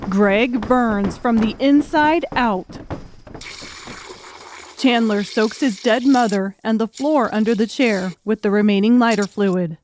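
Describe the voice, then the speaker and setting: A woman reading scripted narration for a dark thriller audiobook. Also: a little high, takes it slowly, a little hiss or hum.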